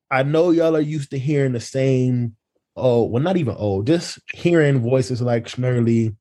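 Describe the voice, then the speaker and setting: A man's voice: medium pitch, dark tone. A man hosting a podcast in casual, slangy chat, playful and teasing with his co-hosts. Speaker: podcast host and creator.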